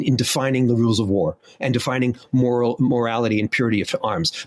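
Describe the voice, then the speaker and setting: A middle-aged man with a husky, fairly high voice, speaking with conviction and stacking up his points one after another. He talks emphatically as an interview guest. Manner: emphatic, impassioned, lists points. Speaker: historian guest. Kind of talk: interview answer.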